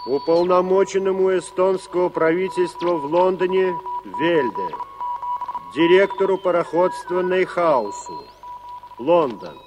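An actor playing a radio operator dictating formal telegrams deliberately in a 1980s Soviet radio drama. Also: male voice, high-pitched. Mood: official, businesslike. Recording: noisy old radio play recording.

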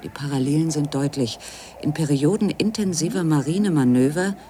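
Male feature narrator, slightly high voice, measured and factual, over noticeable background ambience. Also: mellow, slight background noise. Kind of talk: scripted documentary narration.

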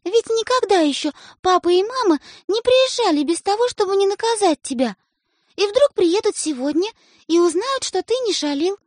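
A woman's voice: extremely high pitch, animated, normal speed, no room sound, studio quality, voice pushed up. A woman voicing a bossy little girl who coaxes and lectures her younger brother. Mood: coaxing. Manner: wheedling, then stern and preachy. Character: bossy little girl, coaxing older sister.

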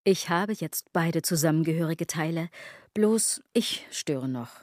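Self-critical woman, low voice, very dry studio sound, ironic, musing, literary radio monologue. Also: animated, a little slow, darkish tone, no echo. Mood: self-deprecating, brooding. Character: self-critical woman brooding obsessively over clothes, body and gods.

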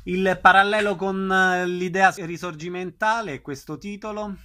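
Male radio interviewer, moderately high and forward-sounding, for announcements and brief guest prompts. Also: steady tone, measured pace, bright, forceful tone.

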